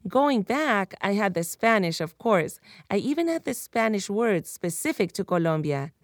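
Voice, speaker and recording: A woman in her thirties narrates her own story for a podcast. She talks candidly and personally, at a middle pitch, into a close mic in a dry room with no echo. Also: sing-song, normal speed. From United States (Miami). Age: 30s. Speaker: reporter.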